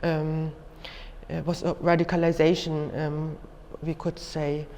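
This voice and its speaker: Woman lecturer, German accent, slow halting delivery, careful explanations, long hall reverb. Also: low voice, level tone, warm tone, slight background noise. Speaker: museum lecturer. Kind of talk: educational lecture, unscripted explanation.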